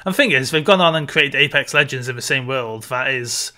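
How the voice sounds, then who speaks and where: Bright-voiced man, confident casual chat. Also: mid-range voice, brisk. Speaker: podcast co-host. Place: home room, video call.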